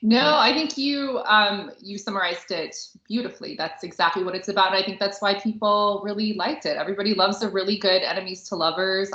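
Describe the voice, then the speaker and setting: A woman chats casually as a podcast co-host. Her voice is notably bright, at a middling pitch. The recording is echoey and a bit dull, with the sound of the room around her. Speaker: podcast co-host and romance author. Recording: roomy, echoey, slightly muffled podcast audio.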